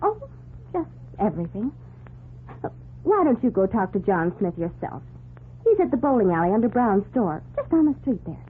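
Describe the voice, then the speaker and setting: A woman's voice: mid-range voice, sing-song, moderate pace, long pauses, husky. An actress playing a perky, eager young woman assistant with a chatty manner, in 1940s radio drama style. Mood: chatty, confiding. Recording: noisy, phone-like 1940s radio recording.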